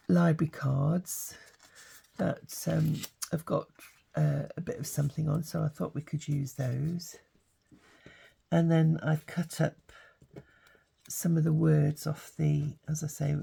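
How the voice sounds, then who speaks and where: An older woman, croaky with hay fever, chatting casually as she works through a craft tutorial. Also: sits low. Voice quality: gravelly. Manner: chatty, relaxed, thinking aloud. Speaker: craft channel host.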